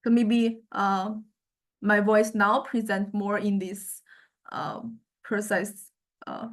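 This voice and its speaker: A woman lecturer giving an informal software demonstration, thinking aloud in a halting way as she goes. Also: medium pitch, little pitch movement. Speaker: music lecturer.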